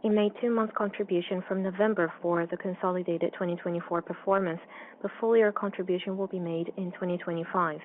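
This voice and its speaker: A woman interpreting a formal financial results presentation on a conference call in an even, businesslike manner. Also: lowish voice, a little fast. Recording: dull, slightly noisy call audio.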